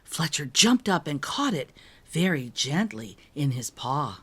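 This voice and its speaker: A woman narrating a children's picture book in an expressive, careful storytelling manner. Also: low-pitched, measured pace, breathy edge. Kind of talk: expressive storybook read-aloud.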